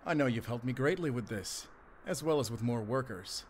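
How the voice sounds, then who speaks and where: A man's voice: mid-range voice, lively intonation, normal speed, slight background noise, lightly acted. A man playing an eager, businesslike manager in a drug ring, polite and deferential, in a roleplay audio drama. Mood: businesslike, confident.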